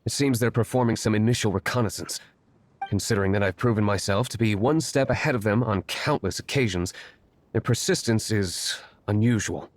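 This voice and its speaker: Man acting brooding nobleman, fairly low pitch, stern formal disdain, aloof, video game dialogue. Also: a little fast, close to an everyday voice. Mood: cool disdain. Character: brooding, aloof young nobleman and secret vigilante.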